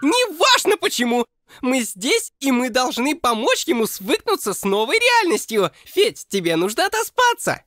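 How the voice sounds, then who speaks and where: A woman's voice: sits high, moderate pace. A woman voicing a cheerful young cartoon clone who bossily takes charge and tells a fairy tale. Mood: eager. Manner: excited, bossy storyteller. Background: music.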